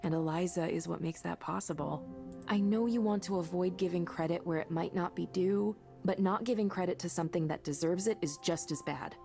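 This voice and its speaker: An earnest, creaky-voiced female colleague. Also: on the low side, fairly quick, soft background music. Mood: earnest, encouraging. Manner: earnest, conversational, gently encouraging. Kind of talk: naturalistic visual novel voice acting.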